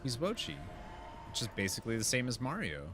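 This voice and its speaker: A man's voice: mid-range voice, huge pitch swings, fairly quick. A man giving casual, rambling and enthusiastic gameplay commentary as he chats with his viewers. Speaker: video game streamer.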